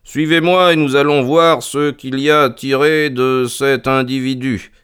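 A male narrator plays a shrewd, self-assured storybook detective. He speaks in a smooth, resonant voice, lifted a little and very articulate. His manner is courteous yet commanding and deliberate. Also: medium pitch, fairly even intonation, a bit bright, pitched above the performer's own voice, close to an everyday voice. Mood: shrewd, pleased. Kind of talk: audiobook character voice.

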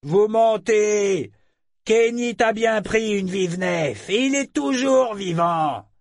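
A man doing a defiant shouting pirate. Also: sits high, slow pace, no echo, clean studio sound, pitched above the performer's own voice. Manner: angry, retorting shout. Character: defiant male pirate in a boarding party.

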